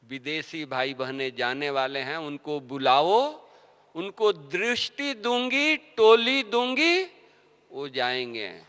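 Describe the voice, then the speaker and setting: A man giving a devotional sermon, telling stories and asking rhetorical questions, his voice swinging between soft and loud. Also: fairly high pitch, sing-song, slow pace, clean tone. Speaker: spiritual teacher. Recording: long hall reverb.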